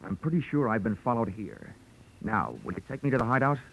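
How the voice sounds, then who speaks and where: An actor playing a wry, hard-boiled insurance investigator with an American accent and deadpan wit, in 1940s radio detective drama style. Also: male voice, mid-range voice, fairly quick, vocal fry, slight background noise, low-quality recording. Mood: persuasive. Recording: old 1940s radio broadcast recording.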